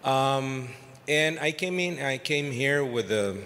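A man's voice: medium pitch, animated, slow pace, sharp tone. Hesitant man. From Costa Rica. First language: Spanish. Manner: hesitant, many fillers and restarts. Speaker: audience member asking a question. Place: conference hall. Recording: reverberant hall, faint noise.

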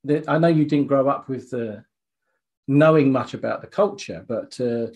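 A man's voice: medium pitch, darkish tone, muffled recording. A man hosting a podcast interview, asking long questions with many false starts. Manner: halting questions with many restarts.

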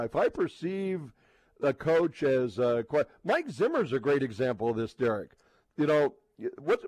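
Higher-voiced man, rambling sports talker. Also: a little mellow, dry recording, rough recording. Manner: halting, rambling, thinking aloud with repeated words. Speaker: sports radio host, live on location. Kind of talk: casual sports talk.

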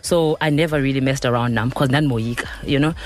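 A woman's voice: a bass voice, fast talker. A young woman from Tanzania, a radio station's events coordinator, telling her story openly in a casual interview and slipping between English and Xhosa. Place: radio studio.

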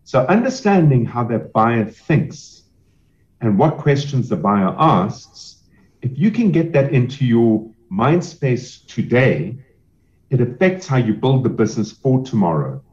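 A man advising on selling a business, speaking in a measured, explanatory way with emphatic repetition. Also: medium pitch, big pitch swings, darkish tone, dull audio. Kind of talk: podcast interview answers.

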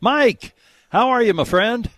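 A man's voice: extremely high pitch, expressive, a little slow, a bit bright, no echo. An American man hosting a radio show, bantering and jokily teasing callers through a prize giveaway.

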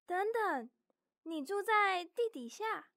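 A woman's voice: extremely high pitch, expressive, takes it slowly, creaky, fairly bright tone, no echo, studio quality, exaggerated voice. A woman voicing a small, naive young mouse with a childlike, innocent and emotional way of talking in a children's audio story. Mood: puzzled.

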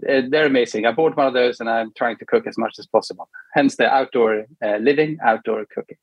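A middle-aged Swedish man with a somewhat husky voice. He talks as a guest in an easygoing, halting way, often stopping to add a quick check-in. The sound is dry, with no room echo. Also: mid-range voice, muffled recording. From Sweden. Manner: relaxed, hesitant with many ums and tag questions. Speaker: guest, product manager. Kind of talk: casual interview chat.

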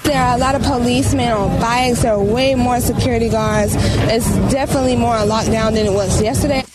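A woman's voice: a little high, fairly even intonation, fairly quick, loud music behind the voice. A woman giving a short street interview answer for a news report.

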